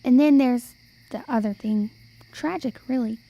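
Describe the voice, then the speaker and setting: A high, soft-edged voice for a sly old wandering storyteller woman, acted by a young woman. She speaks in folksy, old-fashioned turns of phrase for an amateur radio play. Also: a little slow, creaky, no echo, dull audio. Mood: ominous.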